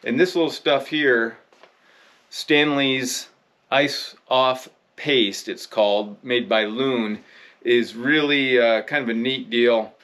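Halting, conversational American man in his fifties. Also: medium pitch, a bit bright. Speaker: fishing video presenter. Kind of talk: casual instructional vlog talk. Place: indoor room with rod racks.

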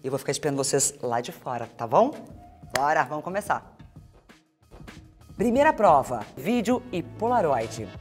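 A woman hosting a reality show, energetic and cheering on the contestants. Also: sits low, normal speed, long pauses. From Brazil. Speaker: show host. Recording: light music underneath.

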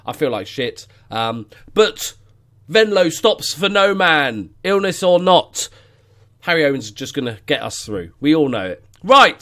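A man's voice: on the high side, a bit bright. A man in his thirties with a cold and a stuffy voice, commentating casually on a game from his living room, sweary and self-mocking. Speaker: let's play host. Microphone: studio mic on stand, headphones.

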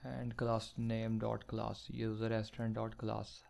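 Man, coding tutor voice-over: gravelly, flat delivery, slow step-by-step dictation of code. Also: fairly low pitch. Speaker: programming tutor.

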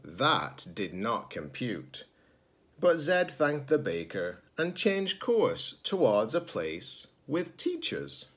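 A man's voice: mid-range voice, huge pitch swings, takes it slowly, fairly bright tone, a little hiss or hum. A man, a teacher-librarian reading a children's storybook aloud. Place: small indoor room at home.

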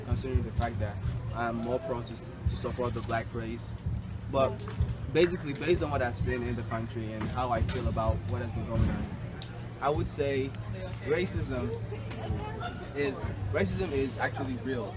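Young man from Africa, earnest, measured, outdoors, loud music behind. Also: mid-range voice. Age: young adult. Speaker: interviewed student. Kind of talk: street interview answers. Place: outdoor campus walkway.

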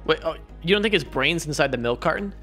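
A man voicing an excitable, bossy, cocky schoolboy in comedy dialogue, dramatic and prone to stammering. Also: a little high, fast, soft background music. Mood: uncertain.

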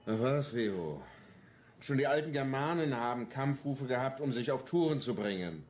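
An actor playing a gruff, combative, embittered police detective in a radio crime drama, talking bluntly and coarsely. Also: male voice, medium pitch, lively intonation, moderate pace, a little mellow, faint music behind, natural voice. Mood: goading. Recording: roomy sound with faint noise.

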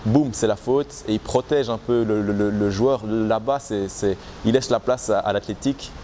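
A man's voice: lowish voice, normal speed, mellow, slight background noise. A young man, talking casually to the camera about his time as a basketball player, with many restarts and fillers. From Belgium. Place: outdoors in front of trees.

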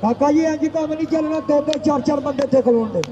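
A man's voice: extremely high pitch, normal speed, dark tone, faint music behind. A man with a Pakistani Punjabi accent announcing informally at a cricket match, exclaiming and repeating his calls. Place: outdoors at a cricket ground. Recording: dull, noisy outdoor crowd recording. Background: crowd noise and ambience.

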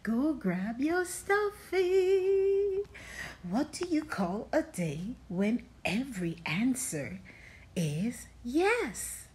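High-pitched, very animated woman reading storybooks. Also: extremely slow. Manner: playful, affectionate sing-song address to children. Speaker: children's read-aloud host.

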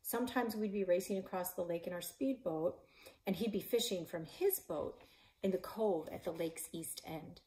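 A woman in her forties, a librarian and author, reading her children's novel aloud in a living room, voicing the characters with shouts and whispers. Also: fairly low pitch, normal speed. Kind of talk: children's book read-aloud. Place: living room in front of a stone fireplace.

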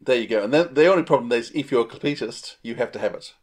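A man's voice: mid-range voice, animated, fast talker. A middle-aged man with an Australian accent, chatting casually as a podcast co-host on a video call. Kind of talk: casual chat.

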